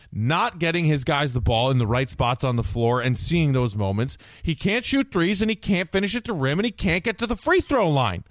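A man's voice: mid-range voice, no room sound. An American man co-hosting a sports podcast, giving blunt, emphatic opinions on basketball in a conversational way.

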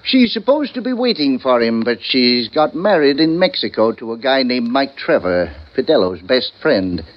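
An actor playing a theatrical, wry older sidekick in a 1940s radio drama, with florid, droll delivery on a vintage recording. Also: male voice, medium pitch, very animated, normal speed, dull audio, close to an everyday voice.

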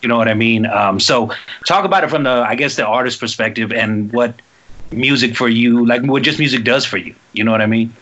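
A man's voice: medium pitch, brisk, sharp tone, lo-fi sound. A man hosting a casual interview, asking long, rambling questions in laid-back slang. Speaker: interview host.

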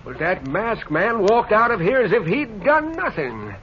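A folksy old prospector from a 1950s radio western. His voice is very high and leaps about excitably. The recording is dull and old. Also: unhurried. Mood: amazed, relieved. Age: older.

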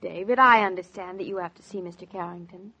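An actress playing a naive, earnest young newlywed wife with an American accent in a 1940s radio drama. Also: female voice, mid-range voice, fast talker, bright, forceful tone, slightly rough. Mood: hurt, brave. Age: young adult. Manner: ingenuous, earnest, questioning. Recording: dull, lo-fi 1940s radio recording.

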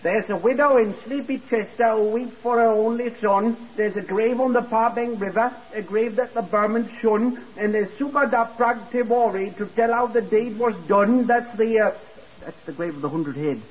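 Forgetful simple young chap, really husky, rambly and eager to please, old radio drama sound. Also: male voice, high voice, moderate pace. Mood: reciting, then apologetic, confused. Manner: deferential, earnest, rambling, reciting. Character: simple, forgetful young working man, eager to prove himself. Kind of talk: 1950s radio drama acting. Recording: dull vintage 1950s radio recording.

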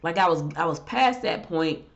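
A woman's voice: expressive, normal speed. Chatty woman host with a head cold. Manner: chatty, exasperated rants with rising pitch. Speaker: show host. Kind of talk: casual solo talk show. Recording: dull lo-fi audio.

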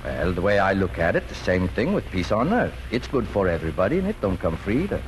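A farmer father explains things in a deep, gentle, folksy voice that rises and falls widely. He is halting and patient, in a 1940s radio drama on a noisy old recording. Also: sing-song. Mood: patient, earnest.

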